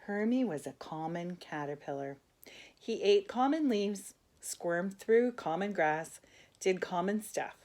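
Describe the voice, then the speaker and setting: An older woman reading a children's storybook aloud in an expressive way, as a grandmother would to young listeners. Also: mid-range voice, a little slow, a little hiss or hum. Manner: expressive, asks the listeners questions.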